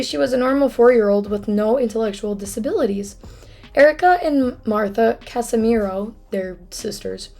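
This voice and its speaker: A young woman hosts a true crime video in a hoarse, froggy voice with a gravelly edge. She talks casually and chattily, dropping apologetic asides as she goes. Faint music sits underneath. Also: medium pitch, darkish tone.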